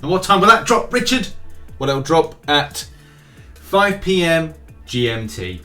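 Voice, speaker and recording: A man's voice: fairly high pitch, sing-song, measured pace, pushed and bright. A man hosting a gaming news update for his viewers in a casual, chatty style with jokey asides and emphatic, sing-song delivery. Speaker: host of a mobile game news channel. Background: background music.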